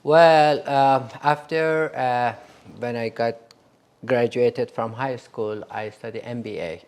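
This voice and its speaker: A man's voice: mid-range voice, fairly even intonation, takes it slowly, a little mellow, smooth. A man from Iran who grew up partly in Dubai, a friendly interview guest with loose phrasing. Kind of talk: casual conversation.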